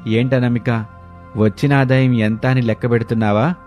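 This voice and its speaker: A man's voice: medium pitch, fairly even intonation, normal speed, a little mellow, soft background music, close to an everyday voice. A man voicing a curious, easygoing young husband with a questioning, mildly surprised manner in a story cartoon. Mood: impressed.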